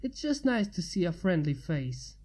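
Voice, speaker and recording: A woman voicing a wounded male mage, a polite, weary stranger who speaks weakly and haltingly, for a fantasy storybook. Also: fairly low pitch, sing-song, warm tone. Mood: weary, friendly. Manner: weak, halting, courteous.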